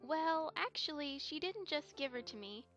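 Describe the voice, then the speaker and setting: A woman's voice: expressive, moderate pace, a bit bright. A woman voicing a shy, earnest young filly in an audio drama, childlike and a little hesitant. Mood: sheepish, earnest. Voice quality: childlike, high. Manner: hesitant, earnest, stammering over confessions. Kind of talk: fan audio drama acting. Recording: slightly dull, lo-fi microphone.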